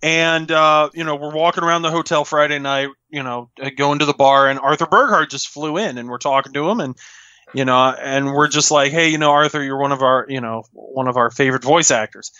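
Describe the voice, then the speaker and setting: A man with a loud voice telling anecdotes in casual, excitable chat as a podcast guest. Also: a little high, a little fast, dry recording. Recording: dull, lo-fi microphone.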